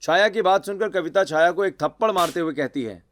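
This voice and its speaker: High-pitched male story narrator. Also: brisk. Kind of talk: scripted narration of an animated family drama. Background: music.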